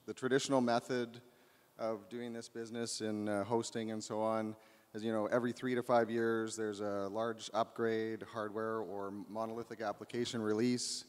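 A man answering questions on a conference panel, measured and hedging with fillers. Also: on the low side, little pitch movement, smooth. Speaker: panelist, cloud business owner. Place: large conference hall stage. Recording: long hall reverb.

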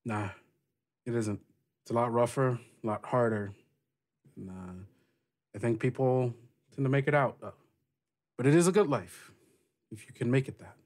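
A man's voice: mid-range voice, darkish tone, natural voice. Man playing a frank, sweary pirate captain woman. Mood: sincere, encouraging. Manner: casual, halting, sweary. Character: frank, rough-edged female pirate captain.

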